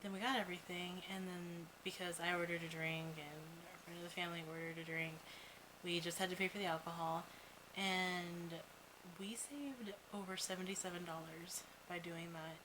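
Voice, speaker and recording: A woman's voice: on the low side, steady tone, normal speed. A young woman vlogging in a casual, chatty way, rambling with lots of "like" and "you know what?". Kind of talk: casual video diary chat. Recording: noticeable background noise.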